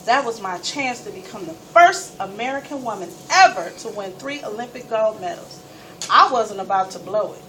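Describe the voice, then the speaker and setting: A woman storyteller reading a first-person story aloud with dramatic, emphatic delivery and deliberate pauses. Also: medium pitch, animated, fairly bright tone. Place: live event space, recorded from a distance. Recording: distant mic, noisy room ambience.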